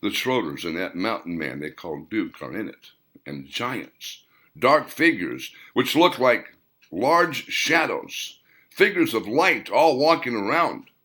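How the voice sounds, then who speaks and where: A man's voice: mid-range voice, big pitch swings, slow pace, bright, forceful tone, heavily acted. A man playing a worried man who confides his recurring nightmares in an uneasy, confiding way.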